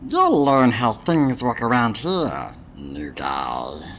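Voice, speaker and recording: A woman's voice: extremely low pitch, huge pitch swings, takes it slowly, slight background noise, heavily acted. A woman voicing an evasive, smugly dismissive space station authority in a noir adventure game, drawing out words with condescension. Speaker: video game character. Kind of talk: noir point-and-click game voice acting.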